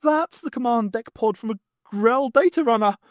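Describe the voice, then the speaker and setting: A man's voice: very high voice, moderate pace, no echo, studio quality, voice pushed up. A man voicing a terrified, defeatist male professor, panting and wailing in an audiobook.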